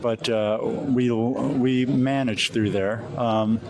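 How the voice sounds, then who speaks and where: An American man in a casual interview as a pilot, recounting things in a halting way with frequent pauses mid-phrase. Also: medium pitch, fairly even intonation. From Alaska, USA. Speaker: competition glider pilot being interviewed. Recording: crowd chatter in the background.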